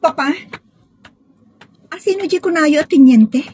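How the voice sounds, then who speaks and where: A woman's voice: high-pitched, a little slow, darkish tone, a little hiss or hum. A woman playing an insistent, suspicious young bride-to-be daughter in an audio drama. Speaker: drama actor. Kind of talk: Ilocano audio drama acting.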